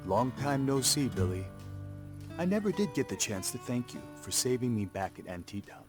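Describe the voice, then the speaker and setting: An actor playing an earnest, principled young war veteran of Lakota descent, measured and sincere, in a video game cutscene. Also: male voice, mid-range voice, lively intonation, music in the background, close to an everyday voice. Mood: friendly, wry.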